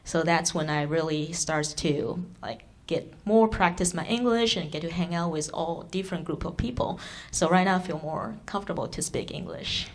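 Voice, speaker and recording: A woman's voice: on the low side, moderate pace, hardly any pauses. A woman whose first language is Chinese, steadily telling how she came to speak English. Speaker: panelist, former student.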